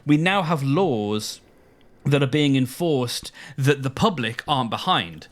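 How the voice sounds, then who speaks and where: A British man talks as a podcast co-host in a conversational, explanatory style. His delivery is halting, and he often backtracks to correct or qualify himself. His pitch sits in the middle of the usual male range. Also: animated. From United Kingdom. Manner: halting, hedging, self-correcting.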